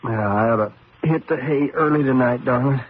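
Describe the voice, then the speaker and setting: A man's voice: mid-range voice, normal speed, husky, narrow, tinny audio, natural voice. An actor playing a weary, affectionate husband and father, casual and fond, in an old radio drama. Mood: tired, fond. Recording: band-limited noisy old radio recording.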